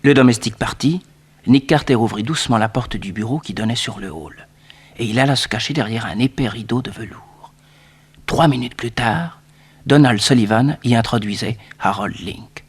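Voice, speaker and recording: An actor narrating a 1960s radio detective serial as a measured storyteller. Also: male voice, medium pitch, husky, lightly acted.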